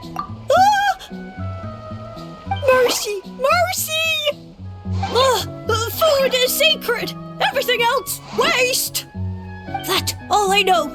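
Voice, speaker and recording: A woman's voice: very high-pitched, expressive, extremely slow, pauses often, over loud music, full character voice. A woman voicing a small timid mushroom creature in a video game, speaking in clipped broken phrases. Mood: pleading.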